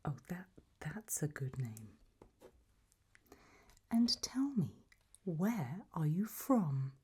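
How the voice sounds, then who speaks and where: Breathy, very slow whispering woman. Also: low voice, very animated. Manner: slow, deliberate, softly instructive with long pauses between options. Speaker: ASMR roleplay performer acting as an examiner. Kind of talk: whispered ASMR roleplay.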